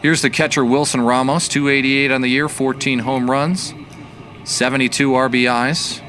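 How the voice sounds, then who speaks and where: A man giving baseball play-by-play commentary as the announcer, measured and analytical in his delivery. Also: mid-range voice, flat delivery, sharp tone, slight background noise.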